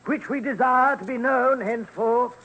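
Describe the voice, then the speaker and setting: Stately king, high voice, formal proclamation, radio documentary drama. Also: normal speed, lo-fi sound, heavy background noise. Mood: stately proclaiming. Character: Charles II, stately regal English king issuing a decree.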